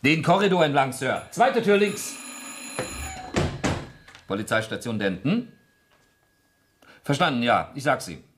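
An actor playing a mocking police desk sergeant in a German radio play. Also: male voice, mid-range voice, sing-song, measured pace, pauses often, a bit bright, a little hiss or hum. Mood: busy.

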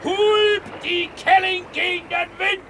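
Radio comedy casting: exasperated ship's steersman, gravelly yet very high, clear background noise. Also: flat delivery, takes it slowly, pushed and bright, heavily acted. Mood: bellowing orders. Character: hesitant, put-upon ship's helmsman. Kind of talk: satirical radio play acting.

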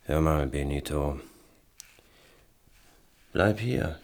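A man playing a gruff, suspicious, lonely crippled inventor in a German radio play, curt and guarded in manner. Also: extremely low pitch, animated, very slow, heavily acted. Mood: confiding, subdued.

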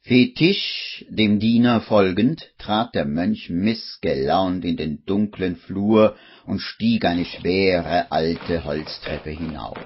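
A man narrating a scripted radio play as a measured, wry storyteller. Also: low-pitched. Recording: muffled old radio recording, faint noise.

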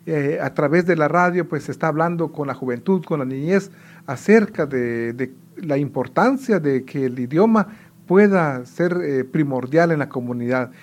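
A man in his forties from Guatemala, a native Kaqchikel speaker, explaining things in a measured way as a radio interview guest. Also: a little high, lively intonation. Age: 40s. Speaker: radio federation director.

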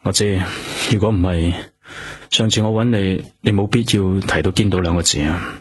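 An actor playing a male police detective in a radio drama, speaking Hong Kong Cantonese, calm and patient as he questions a witness. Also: low-pitched, normal speed, slightly rough.

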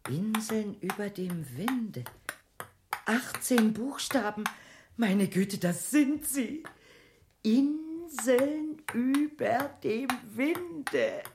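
A firm yet flirtatious lady in a radio drama. She speaks slowly with lively, swinging intonation, over clear background noise. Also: fairly high pitch, heavily acted. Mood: coy, enchanted. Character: firm, theatrical woman, resisting then flirting with a man. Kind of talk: radio play dialogue.

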